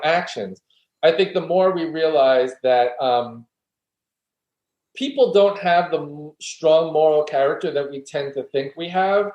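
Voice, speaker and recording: Guy in a roomy echoey space, slightly high voice, talking thru arguments like a professor, earnest. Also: a little mellow, highs cut off. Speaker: guest philosophy professor. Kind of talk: conversational interview discussion. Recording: roomy, echoey room sound.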